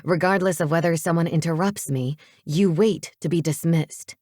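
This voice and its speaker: A woman's voice: sits low, normal speed, darkish tone, no room sound, clean studio sound. A woman voicing a controlling teenage boy in an audiobook, curt and commanding with a sarcastic edge. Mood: sharp, domineering. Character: controlling, menacing teenage boy, coolly taunting.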